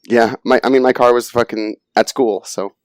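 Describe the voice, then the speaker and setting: A man's voice: mid-range voice, fairly even intonation, moderate pace, dry recording. A man co-hosting a podcast, telling a story in a casual, laid-back way with fillers and swearing. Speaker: podcast co-host. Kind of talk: casual chat.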